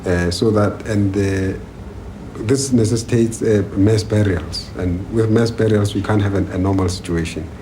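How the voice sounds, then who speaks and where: A South African man giving a documentary interview in a deliberate, formal and emphatic manner. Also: fairly low pitch, fairly even intonation, warm tone. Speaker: education activist interviewee. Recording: noisy 1980s newsreel location sound.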